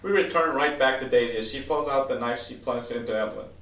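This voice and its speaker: Man, scripted narration, stage directions, medium pitch, echoey room. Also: quick pace, fairly bright tone. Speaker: stage directions reader at a table read. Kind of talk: scripted narration read aloud. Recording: roomy echo, distant microphone.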